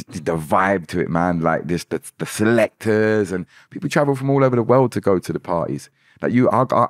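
A man's voice: deep voice, no echo. A man, a podcast guest talking animatedly in a casual interview, often restarting words, close to a desk mic in a small studio. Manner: animated, gestures a lot, quick restarts. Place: small studio room indoors.

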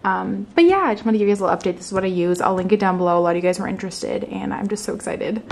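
A woman's voice: mid-range voice, rapid-fire, gravelly, noisy background. Chatty young woman vlogging at home. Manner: chatty, upbeat, lots of "like" and "you guys". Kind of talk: casual vlog chat to camera. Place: living room at home, indoors.

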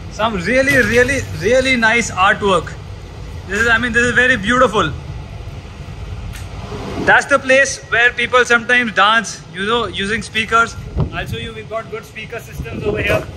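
Guy in his 30s vlogging a ship tour, high-ish voice, faint hum behind. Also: moderate pace, pauses often. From India. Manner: chatty, enthusiastic, restarts and fillers. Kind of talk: casual vlog tour talk. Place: aboard a cargo ship, outdoor decks and indoor day room. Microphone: handheld camera at arm's length.

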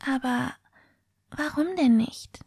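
Woman doing soft whispery dreamy schoolgirl for audio drama, highish voice, candid n gentle, dry close sound. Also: takes it slowly, creaky, slightly rough, dry recording, heavily acted. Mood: gently questioning. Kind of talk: amateur audio drama acting.

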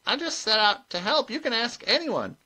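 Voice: mid-range voice, lively intonation, normal speed, pushed and bright, breathy edge, pitched above the performer's own voice. A narrator playing a sly old female witch who speaks in a modest, innocent little tone. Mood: modest protest.

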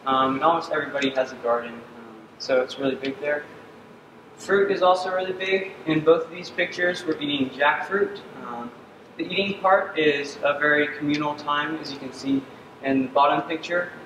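A young man from Uganda giving a senior capstone presentation on a dark stage, earnest and often pausing with ums. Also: fairly high pitch, steady tone, muffled recording. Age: young adult. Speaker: student presenter. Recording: distant echoey hall with ambient noise.

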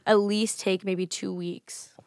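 A woman in her twenties with a slightly raspy voice speaks candidly into a desk mic as a podcast guest. Her voice has an ordinary, mid pitch for a woman. The sound is close and dry, with no room echo. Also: takes it slowly. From United States. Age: 20s. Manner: candid, laughing, animated. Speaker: guest singer. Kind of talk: casual podcast interview. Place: small studio with colored lights and a table. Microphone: studio mic on a desk stand.

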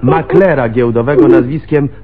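Husky dutiful junior policeman, played by a man. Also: mid-range voice, lightly acted. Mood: matter-of-fact. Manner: brisk, deferential reporting. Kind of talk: radio crime drama acting. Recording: muffled, band-limited radio recording.

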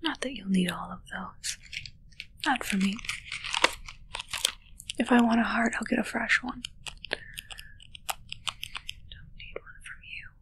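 A young woman playing a self-assured, darkly matter-of-fact witch in a hushed, musing ASMR roleplay, close to the mic. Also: on the high side, expressive, noisy background. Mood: absorbed, musing. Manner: slow, musing, hushed, close personal attention. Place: small dark curtained indoor set.

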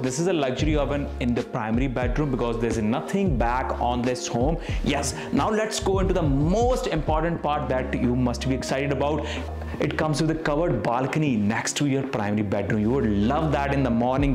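Man presenting in an echoey room. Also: medium pitch. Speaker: real estate agent. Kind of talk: property tour presentation to camera. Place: mostly inside a bright, sparsely furnished new house. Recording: echoey empty house, music behind.

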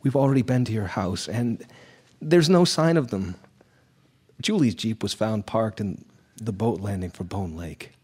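Nervous, hesitant townsman played by a male actor, rough-edged husky voice, stammering delivery, audio drama. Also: lowish voice, expressive, a little mellow. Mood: uneasy, sorrowful. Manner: stammering, halting. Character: nervous, hesitant small-town man, anxious to placate. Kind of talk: live audio drama acting.

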